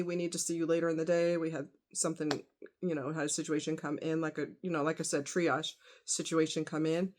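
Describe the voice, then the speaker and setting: A woman vlogger chatting casually to her audience in a rambling, conversational way with frequent asides. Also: lowish voice. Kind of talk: casual chat to camera.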